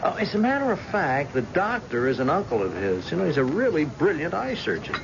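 A man's voice: a little high, big pitch swings, creaky. An actor playing a stubborn, cagey middle-aged blind father in an old-time radio drama, with a hesitant, folksy delivery. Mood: cheerfully evasive. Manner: hesitant, folksy, halting interjections. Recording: muffled, noisy old radio recording.

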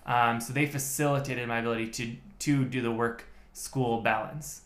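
A man's voice: mid-range voice, steady tone, normal speed, a bit bright. A man, a podcast guest telling his story in a methodical way with many restarts. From United States. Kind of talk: casual interview chat. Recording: echoey room.

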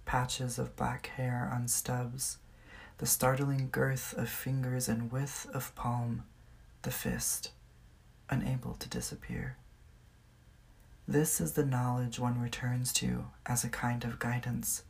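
A woman's voice: very deep voice, slow pace, vocal fry. A woman from California with an American accent, reading poetry aloud as the poet. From California, United States.

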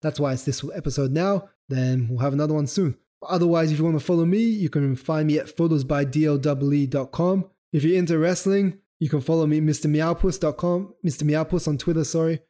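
Young guy with a sore throat rambling through camera specs, slightly high voice but mellow and dark. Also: fairly quick, few pauses. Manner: rambling, loose, with many fillers and self-corrections. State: sore throat, starting to lose his voice. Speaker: podcast host. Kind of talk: casual solo podcast explainer.

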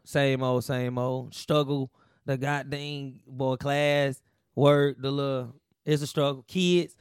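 A man's voice: medium pitch, level tone, slow pace, smooth, dry recording, clean studio sound. A man in casual podcast chat, with mumbled, drawling asides.

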